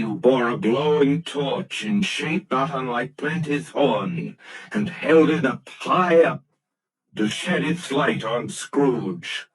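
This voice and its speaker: A man narrating a classic story in measured storyteller style. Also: mid-range voice, husky, rough recording. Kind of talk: scripted narration.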